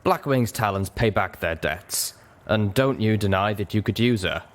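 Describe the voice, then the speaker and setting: A man's voice: lowish voice, expressive, normal speed, natural voice. Guy doing a bold lady griffin gunner for a storybook, brash and grateful. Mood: insistent.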